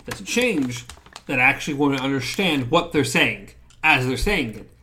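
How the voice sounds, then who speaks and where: Emphatic man with a lively voice. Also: medium pitch, moderate pace, a little hiss or hum. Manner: emphatic, insistent opinions. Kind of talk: casual chat about anime.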